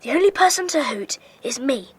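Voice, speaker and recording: Bossy schoolboy gang leader, high voice, brisk and earnest, kids audio play. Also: female voice, lively intonation, no echo. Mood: hushed, commanding. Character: Peter, bossy schoolboy leader of child detectives. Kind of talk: children's audio drama acting.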